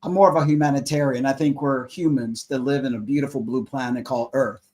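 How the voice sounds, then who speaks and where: A man's voice: mid-range voice, fairly even intonation, fast talker, a little mellow. A man co-hosting a casual panel debate, talking in a musing, easygoing, idealistic way.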